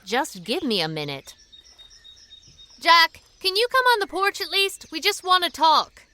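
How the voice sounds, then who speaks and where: A woman's voice: very high voice, very animated, a little slow, bright, forceful tone, full character voice. A woman acting in a radio drama as a feisty, plain-spoken woman who pleads earnestly and urgently. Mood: firm, then urgent, calling out.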